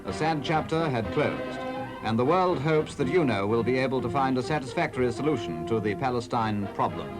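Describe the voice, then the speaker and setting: A man's voice: medium pitch, expressive, fairly quick. A man narrating an old newsreel in a formal, declamatory manner. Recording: old newsreel with loud music behind.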